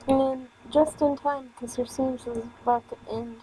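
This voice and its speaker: A woman's voice: sits high, steady tone, moderate pace, warm tone, a little hiss or hum, poor audio. A woman reading a visual novel aloud as a streamer, casually, stumbling over words and tossing in irreverent, sweary asides. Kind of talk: casual game let's play, reading story text aloud with offhand comments.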